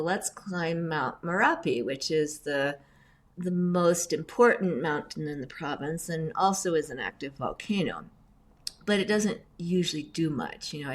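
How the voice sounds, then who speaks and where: A middle-aged woman, raised in Indonesia, speaks in a low voice. She tells her stories in a chatty, animated way and fills them with asides as an interview guest. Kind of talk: casual storytelling interview.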